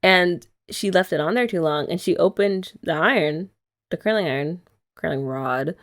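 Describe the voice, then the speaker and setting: A woman's voice: lowish voice, moderate pace. A young woman hosting an ASMR girlfriend roleplay, chatty and giggly as she rambles. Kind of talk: soft-spoken ASMR roleplay chat.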